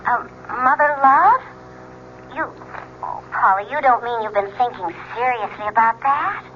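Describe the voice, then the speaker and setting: Casting: breezy, cautious best friend, chatty and wry, slightly high voice, muffled old radio mystery sound. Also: female voice, expressive, unhurried, a little hiss or hum. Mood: concerned, chatty. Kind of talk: 1970s radio drama acting.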